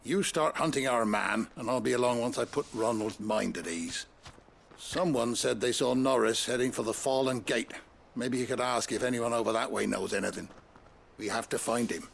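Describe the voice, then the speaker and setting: Actor as a gravelly, calm peacemaker. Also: male voice, medium pitch, moderate pace, a little hiss or hum, natural voice. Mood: calm, placating. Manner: soothing, steady. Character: calm, placating working man, quietly protective. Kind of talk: video game voice acting.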